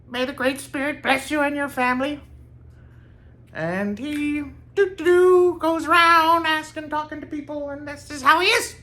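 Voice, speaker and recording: A man's voice: very high voice, bright, forceful tone, pitched above the performer's own voice, full character voice. A man voicing a tall, happy, eccentric one-eyed war veteran in a singsong, lip-smacking voice, in a told story.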